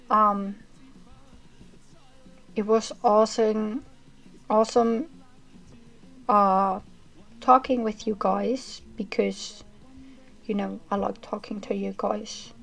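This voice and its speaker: Slow-talking woman streamer, rambling chat. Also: medium pitch, rough recording. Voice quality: monotonous reading voice. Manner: chatty, rambling with fillers. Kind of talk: casual chat ending a livestream.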